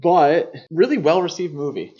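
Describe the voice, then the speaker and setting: A man's voice: a little high, lively intonation, moderate pace, warm tone. Dull-sounding male podcast host talking film. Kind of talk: casual film discussion.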